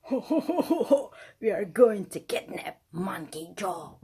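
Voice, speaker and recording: A sly, gloating male crook from a children's storybook , acted out by a woman. The voice is husky and swoops up and down. Lines are drawn out slowly, as if plotting a secret scheme. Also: mid-range voice, very animated, warm tone, pitched below the performer's own voice, full character voice. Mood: chuckling, gloating.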